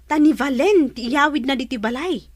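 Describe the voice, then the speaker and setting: Woman playing a very high-pitched spiteful young daughter. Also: normal speed, no echo, studio quality, lightly acted. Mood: petulant. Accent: Ilocano. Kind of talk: Ilocano radio drama acting.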